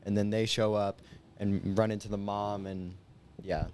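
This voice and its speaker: A man's voice: fairly low pitch, little pitch movement, moderate pace, a little mellow, dry recording. A young man in his late teens chatting casually as a guest, explaining things in a loose, informal way. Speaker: high school senior guest. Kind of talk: casual chat.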